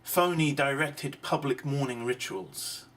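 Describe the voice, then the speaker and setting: A man delivering a deliberate, emphatic monologue of social commentary, pausing between phrases. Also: medium pitch, a bit bright. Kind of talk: slow rhetorical monologue. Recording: distant mic, clearly noisy background.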